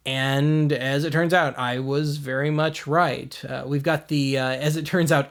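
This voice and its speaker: Higher-pitched American man, wry commentary. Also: gravelly. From United States. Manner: wry, blunt, self-deprecating. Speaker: host of a video series. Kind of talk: casual commentary on archival video.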